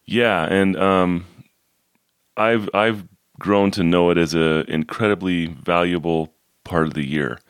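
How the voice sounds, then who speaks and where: Hoarse, halting deep-voiced man. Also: steady tone, dry recording, studio quality. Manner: halting, many fillers and repeated words. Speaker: podcast co-host. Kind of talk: casual chat.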